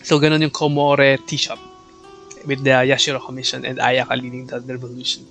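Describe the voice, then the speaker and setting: A man's voice: mid-range voice, completely flat delivery, a little fast, fairly bright tone. A man with a Filipino accent giving casual live game commentary, chatty and switching between Tagalog and English. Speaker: game livestreamer. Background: faint game music.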